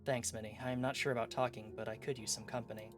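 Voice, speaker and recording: A man reading aloud the part of a brooding, frustrated professor in a visual novel mystery, in measured, formal wording. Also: medium pitch, little pitch movement, natural voice. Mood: weary. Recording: music behind the voice.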